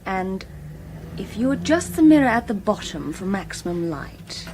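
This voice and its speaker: A woman's voice: mid-range voice, big pitch swings, normal speed, noisy background, lightly acted. An actress with a British accent playing a polite, precise saleswoman with a formal manner in a radio drama. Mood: helpful. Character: polite, precise, helpful shop assistant selling microscopes.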